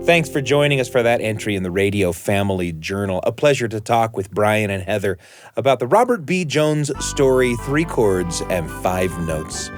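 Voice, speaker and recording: A middle-aged man hosting and telling stories from a script, with measured, reflective phrasing. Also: lowish voice, lively intonation. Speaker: host and storyteller. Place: dry studio. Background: faint music.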